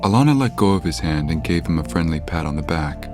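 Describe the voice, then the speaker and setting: Man, deep voice, scripted story narration, audio drama, clear background music. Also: expressive, fast.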